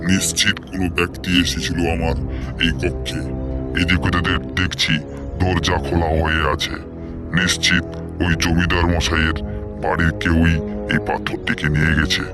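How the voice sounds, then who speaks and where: Man playing a very deep-voiced solemn holy man. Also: little pitch movement, normal speed, close to an everyday voice. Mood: grave, stern. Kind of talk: Bengali animated folk-tale dialogue. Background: loud music.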